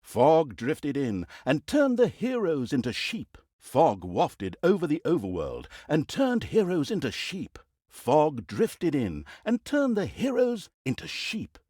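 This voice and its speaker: Sardonic fantasy game narrator: hugely animated storybook delivery, arch and mock-grand, on the higher side, quick to exasperation. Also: male voice, husky, no room sound, studio quality, heavily acted. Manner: dramatic, tongue-in-cheek, arch delivery.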